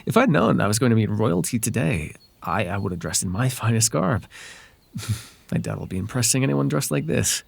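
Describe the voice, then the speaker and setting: A man voices a charming fae traveler with a softly husky, swooping voice. He is courteous, playful and a little evasive, flirting gently in an intimate ASMR roleplay. The sound is close and dry. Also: fairly low pitch, lively intonation, moderate pace, no room sound, heavily acted. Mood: flattering, bashful.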